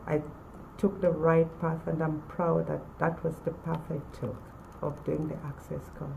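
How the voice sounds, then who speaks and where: A woman in her forties from Uganda, telling her own story in a measured way with pauses. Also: sits low, flat delivery, creaky, background noise. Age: 40s. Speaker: former college student. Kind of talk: personal testimonial to camera.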